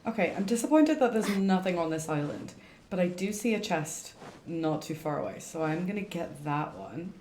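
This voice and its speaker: A woman's voice: on the low side, expressive, normal speed, darkish tone, slight background noise. A woman streaming a game with casual, rambling commentary, thinking aloud over game music. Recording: echoey room, faint game music. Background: faint game music.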